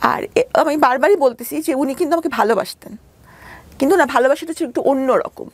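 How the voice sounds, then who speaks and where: A woman with a Bangladeshi accent giving a candid, personal account in a radio interview, halting at times and re-explaining herself as she goes. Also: high-pitched, dry recording. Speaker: interview guest telling her life story; doctor. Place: radio studio.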